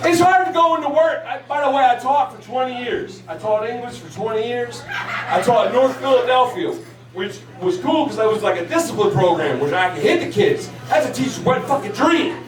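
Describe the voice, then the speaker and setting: A man in his forties doing a crude stand-up set, profane and brash, shouting at the crowd. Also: extremely high pitch, lively intonation, fairly quick. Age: 40s. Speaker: stand-up comedian. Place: large hall at a live show. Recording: echoey hall recorded from a distance with crowd noise. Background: laughing crowd.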